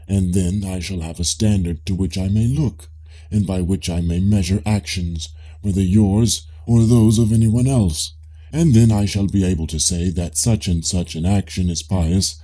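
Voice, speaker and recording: A deep, very dark male voice as a man reads the part of a Greek philosopher in an audiobook dialogue. He questions in a measured, politely ironic way, with a husky edge. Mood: patient, probing.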